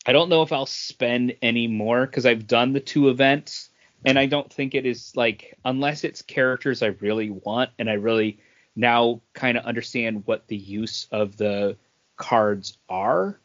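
A man co-hosting a casual gaming chat, talking informally and a bit haltingly in loose, wandering sentences. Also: mid-range voice, steady tone, fairly bright tone, lo-fi sound. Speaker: podcast co-host.

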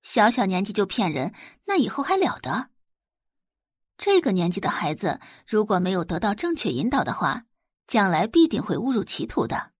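Female audiobook narrator, dramatic scripted delivery, lively intonation, slightly husky, dry close sound. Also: medium pitch, no echo.

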